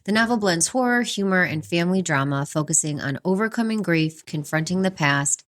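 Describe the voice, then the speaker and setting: A woman in her forties co-hosting a book podcast, reviewing in an expressive, chatty way with frequent laughter. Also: lowish voice, brisk. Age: 40s. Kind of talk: book review chat, partly prepared notes.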